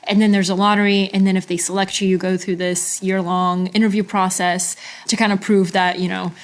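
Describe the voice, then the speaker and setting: A woman in her late twenties from Bulgaria, a journalist guest talking casually in an office interview, relaxed and laughing often. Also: mid-range voice, a little fast.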